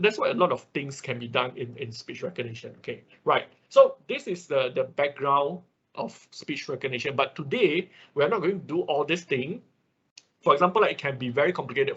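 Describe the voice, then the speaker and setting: Husky male instructor with non-native English accent. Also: medium pitch, a bit bright. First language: not English. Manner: explanatory, with frequent check words like "okay" and "right". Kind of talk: informal online technical lecture. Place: online classroom session. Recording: dull, lo-fi online class audio.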